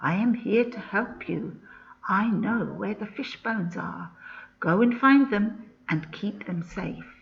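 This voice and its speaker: A woman voicing a gentle, helpful old man in a folk tale, giving measured instruction. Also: mid-range voice, expressive, creaky, dull audio. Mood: gentle, reassuring.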